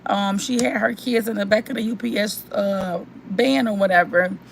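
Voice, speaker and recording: A young woman vlogger with a hoarse, worn-out voice, chatting and rambling straight to her viewers. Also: medium pitch, moderate pace, background noise. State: hoarse, voice mostly gone. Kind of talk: casual chat to viewers.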